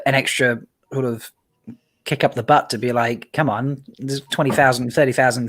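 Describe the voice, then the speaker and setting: A man's voice: mid-range voice, fairly bright tone. A man chatting casually as a guest on a sports show, often ending points with tag questions.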